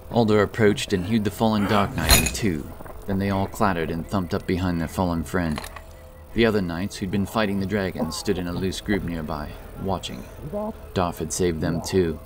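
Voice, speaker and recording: A man narrating a fantasy audiobook as a scripted dramatic story, with intense, theatrical delivery. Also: deep voice.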